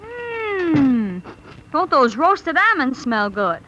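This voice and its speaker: Gushing, pushy teenage girl, bright and high. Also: big pitch swings, very slow, dull audio, exaggerated voice. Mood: coy, eager. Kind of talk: 1930s radio comedy acting. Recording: noisy vintage 1939 radio recording.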